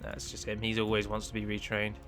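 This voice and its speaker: A man's voice: fairly low pitch, completely flat delivery, fast, music in the background. A man giving chatty, self-deprecating commentary as he plays and records a let's play on a close microphone. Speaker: gaming video host and mod developer.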